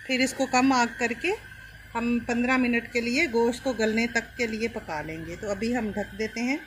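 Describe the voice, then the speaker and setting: A woman hosting a cooking channel, calmly explaining each step as she cooks at an outdoor stove. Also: on the high side, moderate pace, very noisy.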